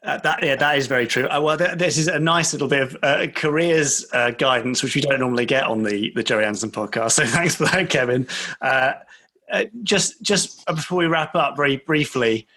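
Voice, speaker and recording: A man's voice: medium pitch, a little fast, bright, forceful tone. A man hosting a casual podcast interview, politely putting questions to his guest in a hesitant, appreciative manner.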